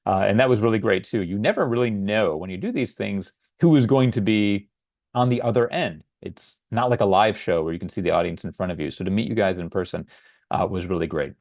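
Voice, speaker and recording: Podcast host, middle-aged American man, lowish voice, earnest and conversational, dry close mic. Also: brisk, a little mellow, no room sound. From United States. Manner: conversational, earnest, with occasional uh fillers. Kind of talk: solo podcast monologue.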